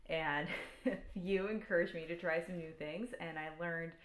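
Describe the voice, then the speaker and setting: Woman co-host, very low voice, hesitant and thoughtful, echoey room. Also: moderate pace. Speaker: podcast co-host. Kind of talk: casual podcast chat.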